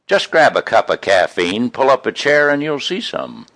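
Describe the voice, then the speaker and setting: A man reading his own poems in an expressive, folksy, homespun way, with casual introductions between them. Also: medium pitch, normal speed, dry recording. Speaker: poet reading own work.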